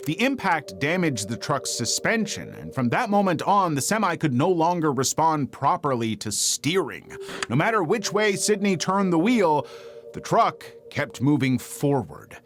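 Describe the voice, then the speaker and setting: Man narrating true crime, lively higher-pitched voice. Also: measured pace. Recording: faint music bed under narration. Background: background music.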